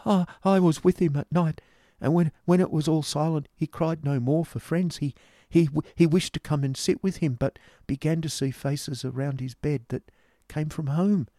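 A man voicing a timid, broken-spirited youth from a storybook, stammering and pleading in a high, strained voice. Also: steady tone, slow, hardly any pauses, dark tone, dry recording, studio quality. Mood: mournful, haunted. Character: timid, broken-spirited simple youth, a frightened abused drudge.

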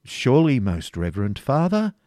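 A scheming preceptor who feigns deep humility, as a man acts him out in a storybook reading. He stammers in a high, strained register far above the reader's own voice. The sound is close and dry. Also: huge pitch swings, moderate pace, mellow, dry recording. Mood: flustered, humble. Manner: humble, flustered, stammering.

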